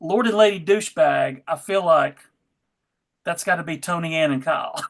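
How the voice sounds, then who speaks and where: A middle-aged man hosting a casual panel chat in a halting, hesitant manner. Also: a little high, fairly bright tone. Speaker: panel host.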